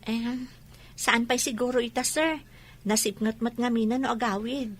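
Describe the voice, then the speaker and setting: A woman's voice: on the high side, fairly even intonation, moderate pace, lightly acted. A woman with an Ilocano accent playing a polite, caring young student in a radio drama, faint noise behind. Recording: faint background ambient noise.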